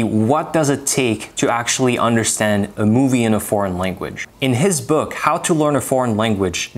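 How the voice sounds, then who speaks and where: Young native French speaker, lowish voice, earnest step-by-step explainer, lapel mic at home. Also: male voice. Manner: earnest, methodical, gestures while talking. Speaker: language-learning YouTuber. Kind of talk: explanatory to-camera lesson. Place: home room with bookshelves.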